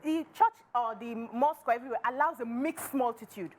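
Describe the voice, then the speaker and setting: A woman speaking in an animated panel discussion. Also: fairly high pitch, fairly quick, slight background noise. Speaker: talk show panelist.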